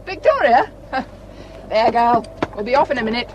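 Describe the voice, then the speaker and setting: An actress playing a brisk, forthright woman country doctor in a radio play. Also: on the high side, sing-song, dull audio, background noise. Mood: breezy, fond. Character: brisk country doctor, fond of her pony.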